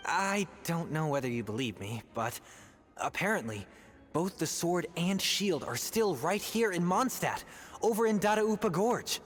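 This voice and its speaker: An earnest young rookie adventurer talks sincerely, keen to prove himself. His voice sits a bit high. Faint game music runs underneath. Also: sing-song, unhurried. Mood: pleading.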